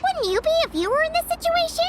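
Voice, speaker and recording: A woman voicing a tiny, childlike, excitable fairy companion with a squeaky voice in a video game. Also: fast talker, heavy background noise. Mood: protesting. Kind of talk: cartoonish video game acting. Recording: loud music behind the voice.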